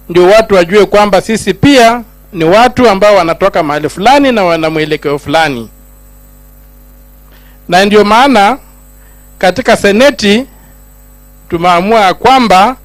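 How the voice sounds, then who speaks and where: A man's voice: high-pitched, no room sound, poor audio. A middle-aged man with a Kenyan accent giving a speech as a senator, moving between Swahili and English with rising, emphatic lines. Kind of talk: public speech at a gathering.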